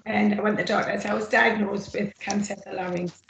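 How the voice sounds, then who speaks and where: A middle-aged woman with a rough, gravelly throat, giving an earnest, plain-spoken personal testimony from her notes on a video call. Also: mid-range voice, fairly even intonation, fairly quick, a bit bright, muffled recording. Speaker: former smoker speaking at a roundtable. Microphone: computer mic on a video call. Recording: echoey room, faint noise.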